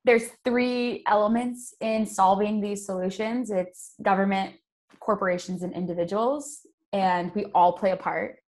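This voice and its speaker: A woman's voice: medium pitch, steady tone, unhurried, a little mellow. A woman who is a podcast guest and environmental advocate, explaining her views in an earnest, emphatic way. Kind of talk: casual podcast conversation.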